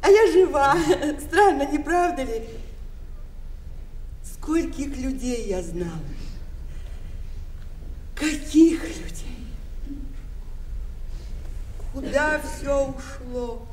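An elderly woman of the stage in a Soviet-era radio drama, high-pitched and effusive. She is sentimental and dramatic, with nostalgia in her manner. The recording is roomy and distant. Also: animated, very slow, long pauses, a little mellow, slight background noise, full character voice. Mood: wistful. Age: older. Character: theatrical, sentimental elderly ex-performer, chatty lady smoker.

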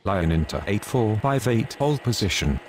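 A man plays an airport radio operator in a simulator game with a very deep voice. He is businesslike and clipped, delivering procedural calls over faint background noise. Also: sing-song, normal speed. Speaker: pilot and air traffic controller radio voice. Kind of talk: scripted aviation radio phraseology.

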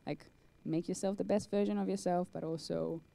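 Young woman with a gravelly low voice. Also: fairly quick. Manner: chatty, animated, lots of "like" and "um". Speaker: conference speaker. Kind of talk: live talk and Q&A. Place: large dark cinema hall on stage. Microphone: handheld mic.

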